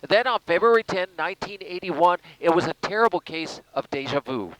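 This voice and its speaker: A man's voice: sits high, animated, fairly quick, fairly bright tone, low-quality recording. A man delivering a live TV news report as a reporter.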